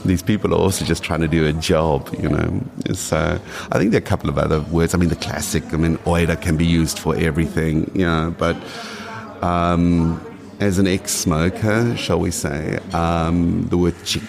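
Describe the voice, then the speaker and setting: Guest spot: deep, gravelly South African man nearing fifty, meandering talk, crowd murmur behind. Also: steady tone, moderate pace. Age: 40s. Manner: rambling, many restarts. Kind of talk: casual interview.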